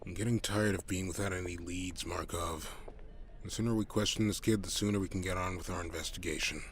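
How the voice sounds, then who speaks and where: An actor playing a gruff, weary, impatient male detective in an audio drama. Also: low-pitched, fairly even intonation, fairly quick, slight background noise, natural voice. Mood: weary irritation.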